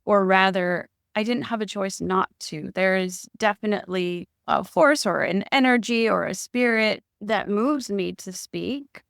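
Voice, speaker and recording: A middle-aged woman speaking as a podcast guest, candid and emotional, pausing and correcting herself as she thinks aloud. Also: medium pitch, creaky, a bit bright, smooth, no room sound, studio quality. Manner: thoughtful, candid and emotional, with pauses and self-corrections. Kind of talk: reflective interview conversation.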